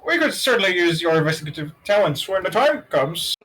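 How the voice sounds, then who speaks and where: A woman reading video game dialogue as a polite, measured colleague of a detective. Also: lowish voice, big pitch swings, crowd noise.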